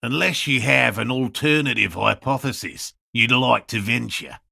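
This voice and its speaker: Game role: obsessive, courteous male field scientist, husky and crackling, lively pitch, fussy scholarly delivery. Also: moderate pace, no room sound, clean studio sound, full character voice. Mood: polite, measured. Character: earnest eccentric male cryptozoologist scientist, obsessive but courteous. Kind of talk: video game dialogue voice lines.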